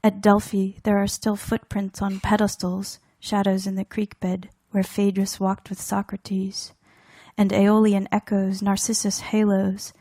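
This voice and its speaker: A woman reading her own poetry aloud in a deliberate, measured way, in fragmented phrases with weighted pauses. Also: medium pitch, monotone, dark tone, no echo. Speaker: poet reading own work.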